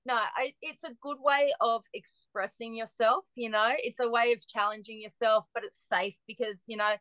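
A woman's voice: on the high side, normal speed, dry recording, muffled recording. Chatty woman in her forties. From Australia. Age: 40s. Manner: chatty, lively storytelling with restarts. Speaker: guest. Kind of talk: casual chat.

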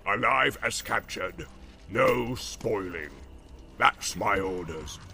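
A man voicing a brutish male orc captain who barks orders in a deep growl. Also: animated, slow pace, creaky, sharp tone, slight background noise, heavily acted. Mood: commanding. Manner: barking, domineering.

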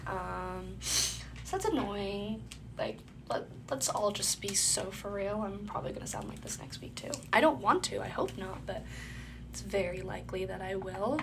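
A young woman hosts her podcast in loose, rambling chat, her voice stuffy and congested with a phlegmy catch in the throat. She sits at a medium pitch. Steady noise hisses under the home recording. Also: normal speed, vocal fry. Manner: rambling, filler-heavy, chatty. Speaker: podcast host. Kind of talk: casual solo podcast chat.

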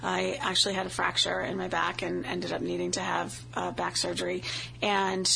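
A woman's voice: lowish voice, completely flat delivery, normal speed, vocal fry, fairly bright tone, low-quality recording. A woman in her forties with a Boston accent, a tarot reader and medium chatting casually in a live interview, laughing often.

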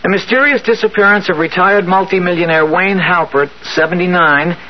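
An actor playing a polite, eager nineteen-year-old hotel bellhop in a 1970s radio drama, deferential and a little hesitant. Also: male voice, high voice, fairly quick, lightly acted. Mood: helpful, concerned. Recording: muffled, hissy 1970s radio recording.

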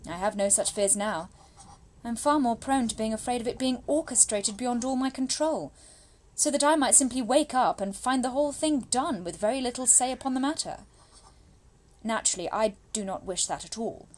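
A woman acts out a young Victorian lady with a high voice, anxious yet spirited. She reads her private letters as a dramatic monologue, confiding in genteel, formal phrases with expressive delivery. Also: normal speed, close to an everyday voice. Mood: wistful, restless.

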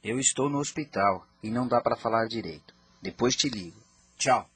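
An actor playing a cautious, torn married lover in a radio soap, hesitant and guarded. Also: male voice, mid-range voice, normal speed, a bit bright, breathy edge. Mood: subdued. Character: Rafa, cautious, torn married man in secret romance.

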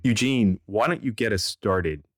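A man's voice: on the low side, a little slow, over music. A man hosting an interview podcast, moving between scripted introduction and questions to guests. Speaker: podcast host.

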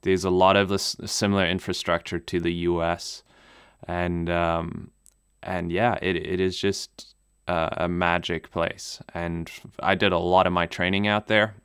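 A man who grew up in Namibia with German as his first language, answering interview questions in a relaxed way, full of ums and restarts. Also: deep voice, unhurried, clear, resonant tone, no echo, studio quality. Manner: relaxed, hesitant with many ums and restarts, laughs easily. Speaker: pilot and video maker.

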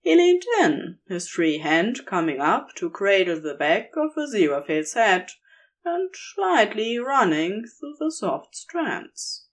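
A woman's voice: medium pitch, sing-song, slow. A woman narrating romantic fiction from a script as a storyteller.

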